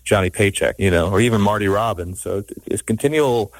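A man's voice: fairly low pitch, a little fast, no room sound, poor audio. A man from Georgia, a middle-aged music producer, talking casually in an interview and rambling with many restarts. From Savannah, Georgia, US.

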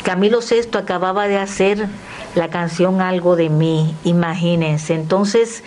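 A woman's voice: on the low side, a little slow, slight background noise. An older woman, reminiscing as a guest and acting out remembered conversations as she goes. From Dominican Republic. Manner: animated storyteller, acting out remembered dialogue. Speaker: guest, television performer. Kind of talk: spoken reminiscence at an event.

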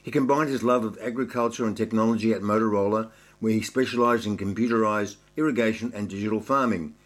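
Male show host, reads a formal intro briskly, plain mid-pitched voice. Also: fairly even intonation. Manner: brisk, formal read-through. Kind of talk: scripted introduction read aloud.

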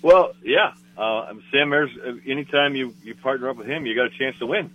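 Man on a tinny phone line. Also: mid-range voice. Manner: halting, repeats words, many fillers. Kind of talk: casual motorsport chat.

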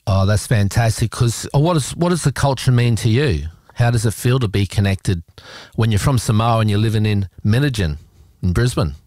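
A man's voice: fairly low pitch, moderate pace, a little mellow, no room sound, clean studio sound. A man with an Australian accent hosting a community radio show, chatting loosely with lots of fillers and restarts. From South Australia. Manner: rambling, chatty, with many fillers. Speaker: radio host. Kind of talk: casual community radio chat.

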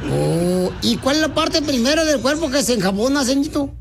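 Un hombre que hace una broma telefónica interpretando a un llamante solitario, bobo y coqueto que divaga con tonterías. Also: male voice, very high voice, expressive, normal speed, vocal fry, a little hiss or hum, heavily acted. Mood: joking. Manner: rambling, silly, cheeky. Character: dopey flirty lonely man caller. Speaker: prank caller.

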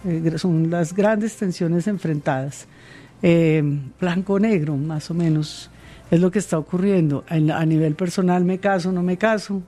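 Woman, interview guest, warm dark tone, faint music behind. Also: lowish voice. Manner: measured, hesitant with fillers and repeated words. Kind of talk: thoughtful interview answers.

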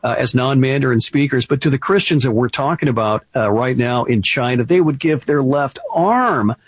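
A man making an earnest fundraising appeal on a radio show, pausing often with "uh" fillers. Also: medium pitch, husky, dry recording. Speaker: ministry representative on a radio show. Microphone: studio microphone.

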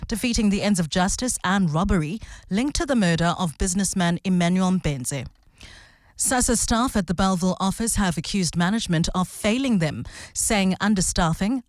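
A woman reading a radio news bulletin in a crisp, measured delivery. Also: mid-range voice, dry recording, clean studio sound. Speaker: newsreader.

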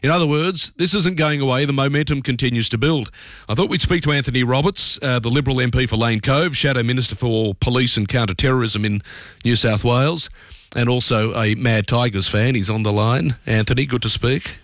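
Brisk Australian talk radio host. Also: male voice, medium pitch, fairly bright tone, no room sound, clean studio sound. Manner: brisk, opinionated, conversational. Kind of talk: talk radio commentary and interview.

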